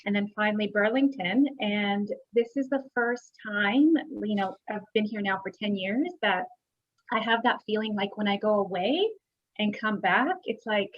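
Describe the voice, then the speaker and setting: A chiropractor from Bosnia speaking on a video call, reflective, with many pauses. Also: female voice, mid-range voice. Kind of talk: casual panel talk. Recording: dull lo-fi audio.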